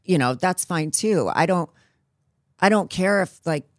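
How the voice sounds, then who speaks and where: A woman guest in a casual interview, speaking haltingly with many restarts, frank and sometimes swearing. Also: fairly low pitch, expressive, no room sound, studio quality.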